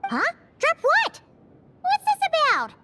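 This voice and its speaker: A woman's voice: very high-pitched, huge pitch swings, slow pace, pushed and bright, soft background music, full character voice. A woman voicing a small, cheerful, chatty fairy companion in an anime video game, childlike and blunt. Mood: curious. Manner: bubbly, refers to self by name.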